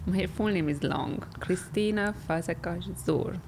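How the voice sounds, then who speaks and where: A woman with a Hungarian accent whose first language is Hungarian, a shy, soft-spoken guest who giggles easily. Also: on the low side, measured pace, slight background noise. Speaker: Olympic athlete and guest. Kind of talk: casual interview chat.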